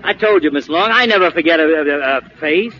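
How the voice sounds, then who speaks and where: A jovial, nosy businessman in a 1940s radio comedy. His voice is high and forceful, hearty yet halting as he blusters. The old broadcast sound is muffled. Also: expressive, a little hiss or hum. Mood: jovial, blustering.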